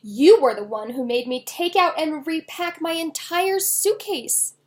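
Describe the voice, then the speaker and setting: Woman reading as an indignant, high-voiced eleven-year-old girl. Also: measured pace. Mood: angry, accusing. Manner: indignant, loud, emphatic. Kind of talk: children's novel read aloud.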